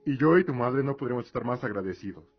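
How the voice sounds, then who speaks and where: An actor playing a proud, devout middle-aged lord and father in a fantasy radio drama, speaking in a measured, formal, earnest way. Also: medium pitch, expressive, muffled recording, faint music behind, natural voice. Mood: serious.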